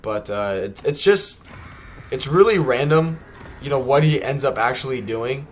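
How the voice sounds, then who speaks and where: A man's voice: medium pitch, animated, measured pace. Man with a scratchy, tired voice. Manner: rambling, many ums and restarts. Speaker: streamer. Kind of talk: casual gameplay livestream chat.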